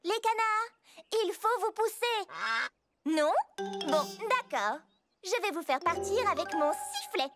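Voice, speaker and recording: Very high, excitable young girl train engine. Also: slow, long pauses, heavily acted. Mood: hurried, determined. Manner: exuberant, exclaiming, playful. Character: cheerful, excitable female young train engine who loves noise. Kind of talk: children's cartoon dub acting. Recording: loud children's music behind the voice.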